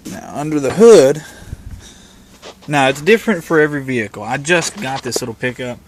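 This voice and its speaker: A man's voice: medium pitch, expressive, moderate pace, background noise. A man giving a casual, hands-on tutorial in an informal, plain-spoken way, explaining things step by step. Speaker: hobbyist demonstrating a vehicle radio installation. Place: in and around a parked pickup truck.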